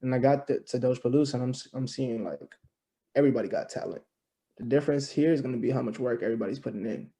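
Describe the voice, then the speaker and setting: A man's voice: mid-range voice, quick pace, dark tone. A man in his early twenties, a musician guest on a podcast, talking in a loose, slangy way and often adding "you know what I'm saying.". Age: 20s. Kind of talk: casual interview chat.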